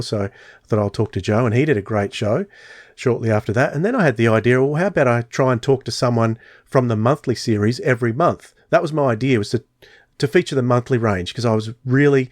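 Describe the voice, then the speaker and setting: A man's voice: medium pitch, darkish tone, dry recording, studio quality. A man hosting a podcast, chatting casually and telling stories with many fillers. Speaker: podcast host.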